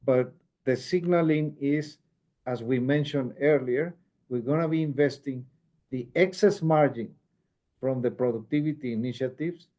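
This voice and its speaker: A man speaking as a company executive, answering questions on an earnings call in a measured, explanatory way and repeating phrases for emphasis. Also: mid-range voice, mellow.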